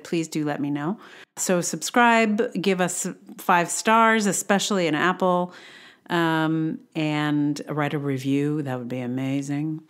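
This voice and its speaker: A woman podcast host giving a casual, halting, informal introduction. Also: low-pitched.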